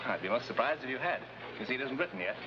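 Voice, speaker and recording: An actor playing a chatty, whimsical gentleman novelist with breezy upper-class phrasing in a 1950s television adventure. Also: medium pitch, animated, rapid-fire, natural voice. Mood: breezy, chummy. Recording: muffled old TV soundtrack with noise.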